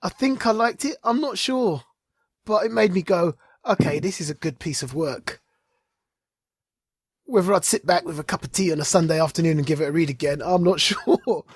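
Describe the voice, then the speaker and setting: A man with a husky voice, chatting casually as a podcast host and reviewer. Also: high-pitched, expressive, normal speed, dry recording, studio quality. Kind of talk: casual chat, comics review.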